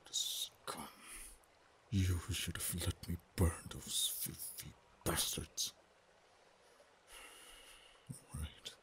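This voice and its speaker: A man voicing a protective male dragon in human form, tender yet teasing, speaking softly and often in a whisper for an ASMR roleplay. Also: low-pitched, little pitch movement, drawn-out words, long pauses, slight background noise, heavily acted. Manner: soft, hushed, often whispered.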